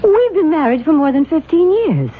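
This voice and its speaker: A woman's voice: high voice, big pitch swings, normal speed. A woman playing an anxious, protective wife in a radio drama, emotional and insistent. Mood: hurt, indignant. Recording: tinny vintage radio, noisy background.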